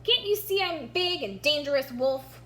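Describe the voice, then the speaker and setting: A woman's voice: high voice, expressive, moderate pace, pushed and bright. A woman voicing a big, proud, determined wolf with an emphatic, earnest manner in a children's storybook read-aloud. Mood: indignant. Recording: noticeable background hum.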